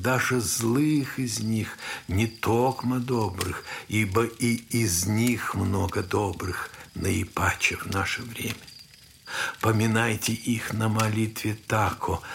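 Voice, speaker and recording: An actor playing a humble, loving old monastery elder in an audio drama, preaching solemnly and gently, at times hushed. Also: male voice, fairly low pitch, gravelly, a little hiss or hum, exaggerated voice. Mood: humble, prayerful. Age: older. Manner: measured, solemn, gently exhorting.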